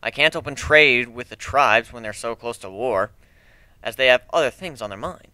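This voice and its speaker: A man's voice: medium pitch, normal speed, sharp tone, clean tone, no room sound. A man voicing a brash, cocky griffin adventurer with a blunt, sardonic way of talking, in a fantasy story reading. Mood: negotiating.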